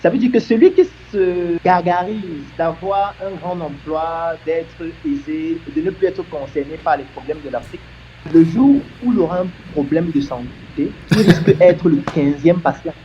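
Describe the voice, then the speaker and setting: A man's voice: on the high side, normal speed, lo-fi sound, slight background noise. A man making an earnest, emphatic argument in a discussion, building his points with examples.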